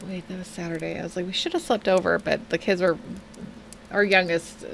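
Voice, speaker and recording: A woman in her thirties from Pennsylvania, co-hosting a casual live stream, chatty and quick to laugh as she reads out viewer comments. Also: lowish voice, steady tone, vocal fry, a bit bright, a little hiss or hum. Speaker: co-host of a live stream show.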